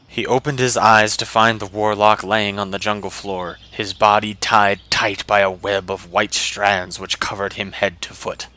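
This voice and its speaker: Low, bright-toned male story narrator. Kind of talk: scripted narration of a fantasy adventure story.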